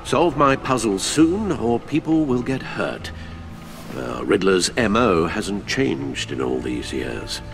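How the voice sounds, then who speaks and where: An actor playing an elderly, proper gentleman butler in a video game, polite, dry and formal. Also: on the low side, very animated, slow pace, gravelly, over music, heavily acted. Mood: dry concern. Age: older. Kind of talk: video game cutscene acting.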